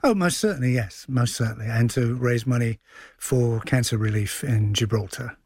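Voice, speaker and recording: A man's voice: medium pitch, moderate pace, darkish tone, husky, no room sound. A man speaking as a theatre director and studio guest, explaining things conversationally like a storyteller. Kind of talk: conversational interview.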